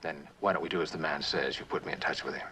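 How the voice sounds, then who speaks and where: An actor playing a determined, sardonic traveler in a suspense drama, speaking in clipped, insistent, dryly sarcastic lines. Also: male voice, extremely low pitch, fast, pushed and bright, a little hiss or hum, exaggerated voice, poor audio. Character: determined, sardonic male traveler pressing for answers.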